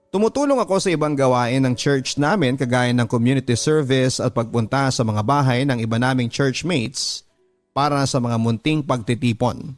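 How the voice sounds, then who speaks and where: A man with a Filipino accent reading a scripted first-person letter as a radio drama narrator. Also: mid-range voice. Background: background music.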